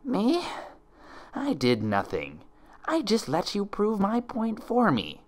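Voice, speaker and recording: A man voicing a cruel, mocking female impostor pony who speaks with slow disdain in a story reading. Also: sits high, huge pitch swings, a little mellow, voice pushed up, exaggerated voice. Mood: smug. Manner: disdainful, slow taunting, hissing.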